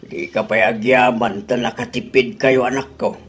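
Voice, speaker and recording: A man's voice: flat delivery, normal speed, natural voice. Man doing a lecturing old Ilocano father. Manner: lecturing, swooping pitch. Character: scolding thrifty old father. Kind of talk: radio drama acting.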